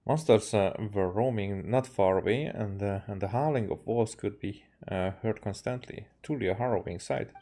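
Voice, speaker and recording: A man, a game streamer reading dialogue aloud haltingly and correcting himself as he goes. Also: on the low side, warm tone. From Hungary.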